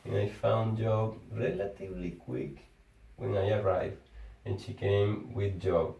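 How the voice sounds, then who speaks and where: A man with a Venezuelan accent chats casually, his voice sitting a bit low. His English is halting, and he restarts words as he hunts for the right phrase. He sounds far from the mic in a roomy, echoing space. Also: gravelly, warm tone, muffled recording. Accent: Venezuelan Spanish. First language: Spanish. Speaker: engineer. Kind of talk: casual conversation. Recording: echoey room, far from the mic.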